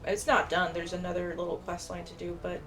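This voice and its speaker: A woman's voice: on the low side, little pitch movement, brisk, a bit bright, a little hiss or hum. A woman giving casual, blunt commentary as she plays a game and reads dialogue aloud. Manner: informal, blunt. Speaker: gamer narrating a playthrough.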